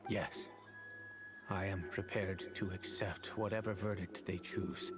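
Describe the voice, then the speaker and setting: Low, remorseful merchant father. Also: steady tone, a little slow, music in the background. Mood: resigned. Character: remorseful, earnest middle-aged merchant father. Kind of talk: video game voice acting.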